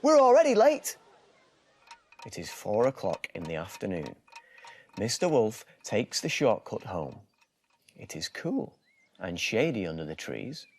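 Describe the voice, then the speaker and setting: A man narrating a children's bedtime story in a theatrical, expressive way. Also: sits low, unhurried, husky.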